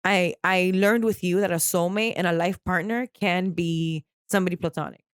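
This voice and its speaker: A woman's voice: on the low side, steady tone, normal speed, smooth, no room sound. A woman co-hosting a podcast, nasal from crying, talking candidly and in a rambling way about a close friendship. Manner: candid and rambling, with casual swearing. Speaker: podcast co-host. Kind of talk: casual heartfelt chat.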